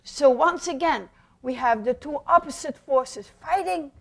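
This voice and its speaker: A woman's voice: high-pitched, a little slow, creaky. A woman presenting puppetry in an explanatory storytelling talk, theatrical and emphatic, with rhetorical questions.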